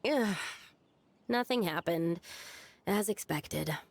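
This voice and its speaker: A woman's voice: on the low side, lively intonation, slow pace, gravelly, dry recording, heavily acted. A woman playing an earnest, self-doubting young village girl in a video game, with a hesitant manner. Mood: resigned, doubtful. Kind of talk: video game character acting.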